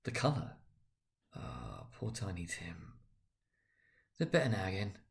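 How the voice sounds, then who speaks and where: A man voicing a gentle, careworn, loving mother in a dramatic storybook reading, with a halting manner. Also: deep voice, wildly swooping pitch, vocal fry, exaggerated voice. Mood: weary, sorrowful.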